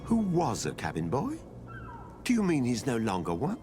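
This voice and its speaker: A man's voice: mid-range voice, wildly swooping pitch, normal speed, music in the background. An actor playing a learned, earnest nobleman in a video game, speaking formally and expressively with concern. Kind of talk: dramatic game dialogue.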